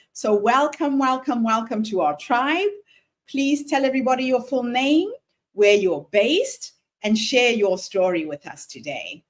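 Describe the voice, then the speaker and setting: A woman hosting a podcast, effusive and expressive as she opens the show and welcomes her guest. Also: mid-range voice. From Australia. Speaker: podcast host. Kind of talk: podcast interview.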